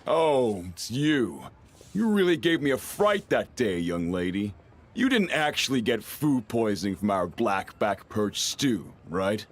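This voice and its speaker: Good-natured fatherly restaurant cook for a video game, middle-aged, expressive, lightly deep. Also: unhurried, slight background noise. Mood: relieved, wary. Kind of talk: English video game voice acting.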